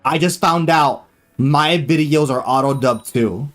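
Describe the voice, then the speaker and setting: A young man chatting casually on a live gaming stream, excitable and loose, full of fillers and slang, swearing freely. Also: a little high, unhurried, faint music behind.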